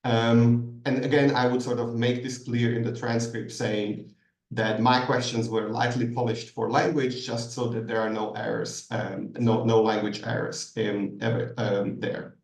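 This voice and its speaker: A man's voice: medium pitch, little pitch movement, a little slow. Man answering from an echoey distance. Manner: thoughtful, hesitant, many ums and restarts. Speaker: guest expert answering questions. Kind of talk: masterclass Q&A answer. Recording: echoey room, distant mic.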